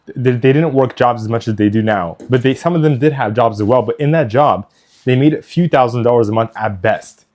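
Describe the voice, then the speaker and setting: Deadpan young male podcast co-host. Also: medium pitch, a little fast. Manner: dry, deadpan, explains points methodically. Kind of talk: casual podcast discussion.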